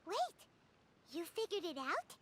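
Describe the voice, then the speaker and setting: Tiny, squeaky, very high-pitched fairy. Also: female voice, animated, measured pace, slight background noise, exaggerated voice. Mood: eager, curious. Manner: squeaky, exclamatory, childlike. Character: tiny excitable childlike female fairy companion. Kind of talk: scripted video game dialogue. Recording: loud game music behind the voice. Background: loud game music.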